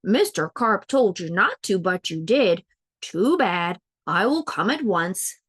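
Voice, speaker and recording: A storybook policeman, read by a woman, helpful and matter-of-fact. The delivery is plain-spoken and authoritative, pitched for a children's tale. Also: big pitch swings, takes it slowly, a bit bright, breathy edge, full character voice.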